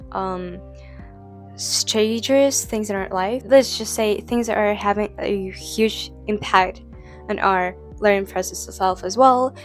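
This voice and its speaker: A young woman talking casually to camera. English is not her first language, and her sentences wander with constant "like" fillers and restarts. Her voice sits at a middling pitch over faint background music. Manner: rambling, heavy use of "like" fillers, frequent restarts. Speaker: vlogger.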